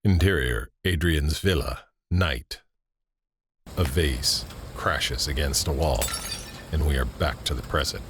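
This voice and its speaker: An actor as a narrator, reading scripted scene directions for an audio drama. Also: male voice, extremely low pitch, slow, fairly bright tone, slight background noise, exaggerated voice. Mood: measured.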